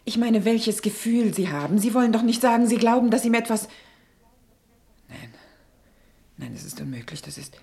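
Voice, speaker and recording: Verzweifelte, aufgewühlte Mutter im Hörspiel. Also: female voice, on the high side, animated, vocal fry, a little mellow. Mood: desperate, reproachful. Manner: halting, agitated, breaking into whispers. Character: distraught middle-class mother, anxious, reproachful, despairing. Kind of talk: German radio play acting.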